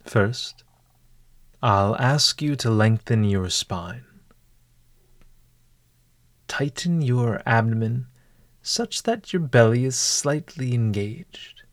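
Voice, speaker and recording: A man narrating a scripted guided meditation in a formal, measured and eloquent manner. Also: medium pitch, expressive, no echo.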